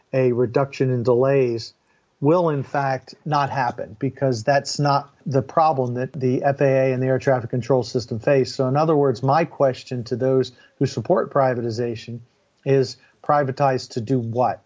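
An older man speaks as an interview guest with a pilot's background. He lays out his explanations firmly and with clear opinions, at a middling pitch. The sound is somewhat dull and lo-fi. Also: darkish tone. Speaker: interview guest, former airline and Air Force pilot.

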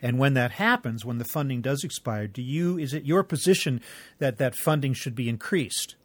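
A man hosts a podcast interview with a mid-pitched voice. He puts his questions in a measured, articulate manner. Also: animated, no echo.

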